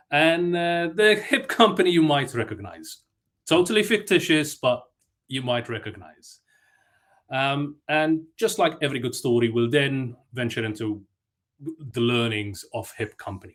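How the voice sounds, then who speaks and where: A man from Malta gives a conference talk in a chatty, self-deprecating way. He stumbles through fillers and restarts as he tells a story. His voice sits at a middling pitch. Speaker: conference speaker.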